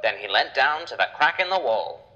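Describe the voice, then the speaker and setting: A man's voice: mid-range voice, sing-song, normal speed, pushed and bright, highs cut off, slight background noise. A man narrating a children's adventure story in a dramatic, scripted storytelling style.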